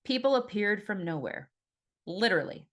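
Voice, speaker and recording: A woman reading her own fiction audiobook as an expressive first-person narrator. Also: medium pitch, normal speed.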